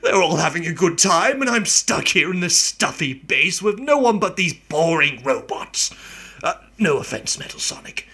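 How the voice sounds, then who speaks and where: A middle-aged mad scientist villain in a radio play. The voice is pitched high and forceful, with a rough, gravelly edge. He grumbles theatrically, full of self-pity. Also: big pitch swings, moderate pace, exaggerated voice. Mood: self-pitying, gloomy. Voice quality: gravelly, raspy. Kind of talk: amateur radio play acting.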